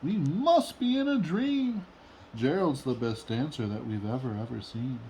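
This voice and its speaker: A man voicing excitable, exclaiming jungle animals while reading a storybook aloud. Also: mid-range voice, measured pace, a little hiss or hum, rough recording. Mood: amazed. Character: jungle animals, mocking then amazed, loud and exclaiming.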